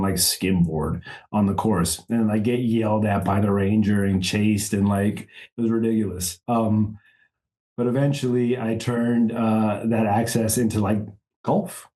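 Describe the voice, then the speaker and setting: Brief: a laid-back man with a low, mellow voice who rambles through his stories as a podcast guest. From Chile, raised in the US South. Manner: relaxed, rambling, heavy use of "like". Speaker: podcast guest, apparel founder. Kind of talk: casual storytelling interview.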